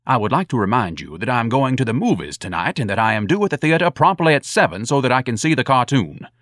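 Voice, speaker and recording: A man's voice: mid-range voice, big pitch swings, fast talker, fairly bright tone, dry recording, clean studio sound, voice pushed up. A man voicing a pompous, theatrically disdainful grown son in an audiobook, with over-formal, indignant diction. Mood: haughty indignation.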